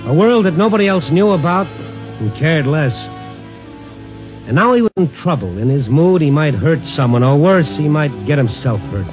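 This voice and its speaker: A man's voice: a little high, expressive, normal speed, natural voice. An actor playing a worldly, kindly American newspaperman who narrates and acts in a mid-century radio drama. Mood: somber, reflective. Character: worldly, protective newspaperman narrating his own story, kindly. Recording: dull old radio recording with music.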